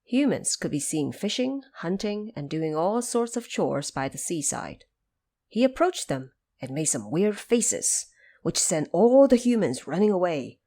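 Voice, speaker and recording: Female narrator for an audio drama: fairly low register, very animated, dramatic storybook delivery.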